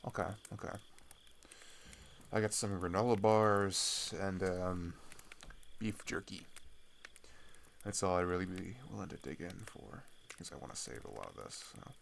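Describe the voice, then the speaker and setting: A young man playing a weary teenage boy fleeing his homeland, speaking haltingly in an intimate, naturalistic audio roleplay. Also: sits low, creaky, a little hiss or hum. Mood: awkward, casual. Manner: hesitant, halting, sighing, trailing off.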